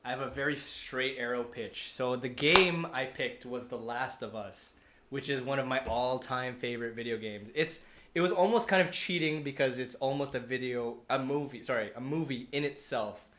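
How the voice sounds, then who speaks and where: A man with a mid-pitched voice talks through a story in a casual, unscripted way. He rambles and backtracks to fix his own wording. The recording has a noticeable room echo. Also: normal speed, a bit bright, a little hiss or hum. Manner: rambling, often losing his thread and correcting himself. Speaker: podcast panelist pitching a movie adaptation. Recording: roomy, echoing room.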